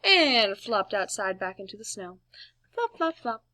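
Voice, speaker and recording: A woman reading a storybook aloud to young children in an expressive, playful way, with emphatic sound words. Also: mid-range voice, a little slow. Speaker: storybook reader, teacher.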